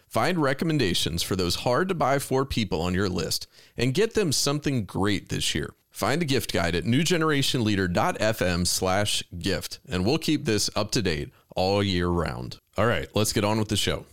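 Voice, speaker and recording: A man's voice: fairly low pitch, very animated, moderate pace, a bit bright, no echo, studio quality. A man with an American accent, hosting a podcast with introductions and interview questions. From Richmond, Virginia, United States. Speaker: podcast host.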